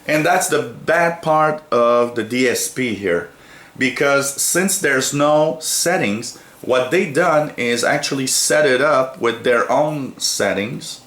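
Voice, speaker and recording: A man giving a casual gadget review, explaining things in a hesitant way with frequent pauses. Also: medium pitch, a bit bright. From North America. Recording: roomy, echoey sound.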